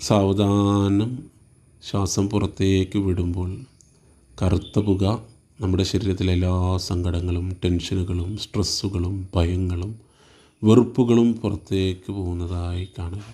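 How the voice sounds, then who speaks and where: Meditation guide: Malayali man, lowish pitch, very soft dark tone, slow soothing instructions, spaced phrases. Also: level tone.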